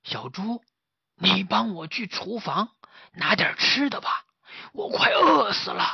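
A storyteller voicing a hungry, boastful old martial arts master in a children's story, with a high, strained voice. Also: sing-song, slow pace, gravelly, breathy edge, no echo, dull audio, exaggerated voice. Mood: whiny.

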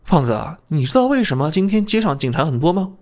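Guy reading a mild apprentice character in an audiobook, low-key, a bit high voiced. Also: big pitch swings, fast, darkish tone. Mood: placating. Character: young male apprentice, mild, secretly a ghost hunter.

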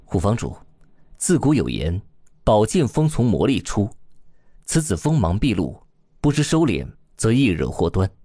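A man playing an aloof, philosophical demon king in a fantasy audio drama, speaking in measured, formal, archaic phrases. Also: on the low side, very animated, dark tone, slightly rough, no room sound, studio quality. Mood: calm, formal.

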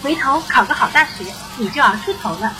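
A woman's voice: high voice, lively intonation, brisk, very noisy. An actress playing a cheerful, grateful rural mother from Henan who helps in a canteen, eager and plain-spoken, in a radio drama. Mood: friendly, grateful. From Henan, China.

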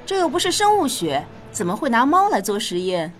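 Caring practical mum in a radio play, woman acting, highish very lively voice, music behind. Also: a little fast. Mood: curious. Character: caring, practical mother of a young girl.

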